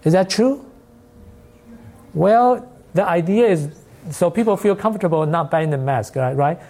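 Mellow-voiced lecturer with a Taiwanese accent. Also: medium pitch, animated, measured pace. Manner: non-native English with loose grammar, rhetorical questions. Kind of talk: informal academic presentation.

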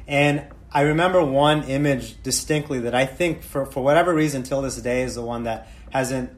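An American man telling a personal story on a panel in a halting, reflective way. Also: mid-range voice. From United States.

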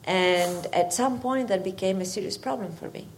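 A woman's voice: medium pitch, normal speed, vocal fry, a little hiss or hum. A woman from Greece with a Greek accent, a university faculty member commenting in careful, hesitant phrases at a public discussion.

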